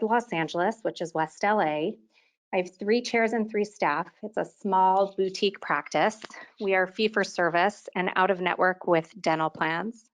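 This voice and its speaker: A woman in her thirties with a slightly low voice, lecturing to a webinar audience. She talks as an orthodontist in a clear, organized way, often leading into her points with "so". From Quebec, Canada. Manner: clear, organized delivery, often opening sentences with "so". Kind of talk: continuing-education webinar lecture.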